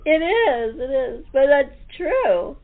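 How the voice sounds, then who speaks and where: A woman's voice: sits high, very animated, takes it slowly. A woman hosting a chat show, talking casually with her guest. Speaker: host of a chat show, producer of radio plays. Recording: thin telephone-like sound.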